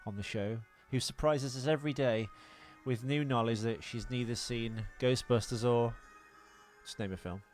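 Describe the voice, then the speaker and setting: A man hosting a casual film podcast, chatting in an animated, teasing, mock-incredulous way with his co-host. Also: mid-range voice, moderate pace. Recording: music playing behind the talk. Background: orchestral film score.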